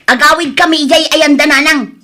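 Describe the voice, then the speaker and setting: High-voiced, resentful wife. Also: female voice, fairly even intonation, fairly quick. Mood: angry, accusing. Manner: sharp-tongued, accusing. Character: resentful, nagging young wife and mother. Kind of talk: Ilocano radio drama acting.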